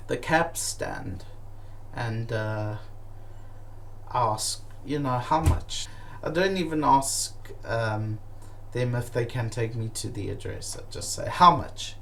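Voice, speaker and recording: A man telling comic stories about his travels, animated and profane, with many fillers and restarts. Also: medium pitch. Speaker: comedic storyteller.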